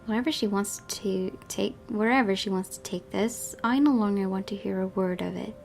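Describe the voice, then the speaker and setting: A woman's voice: medium pitch, moderate pace, gravelly, a little mellow, soft background music. A woman hosting a gaming video, reading visual novel narration expressively and adding casual asides.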